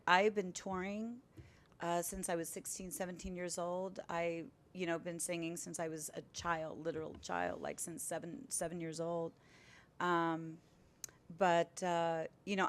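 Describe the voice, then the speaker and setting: A middle-aged woman entertainer, a guest in an interview, talking in a casual, animated and emphatic way. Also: lowish voice. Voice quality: powerful voice.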